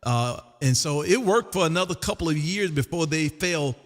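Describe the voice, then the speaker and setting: A loud, folksy man with a slightly high voice, chatting as a ham radio operator. He is exuberant and tends to repeat himself. The sound carries a long, roomy reverb. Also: lively intonation, normal speed, soft background music. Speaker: amateur radio operator hosting a live stream. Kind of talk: casual ham radio chat. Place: home radio room.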